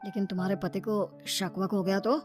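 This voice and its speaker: A woman's voice: mid-range voice, flat delivery, a little fast, darkish tone, soft background music, pitched below the performer's own voice, natural voice. A woman voicing a playful, filmi, romantic young man in a moral story cartoon, with a showy manner. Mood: worried.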